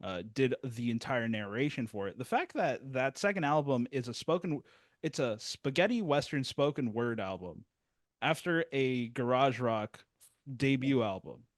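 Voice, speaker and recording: A man's voice: medium pitch, lively intonation, moderate pace, no echo. A man hosting a music review interview, talking in a rambling, self-deprecating way with many restarts. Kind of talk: casual interview chat. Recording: dry, close sound.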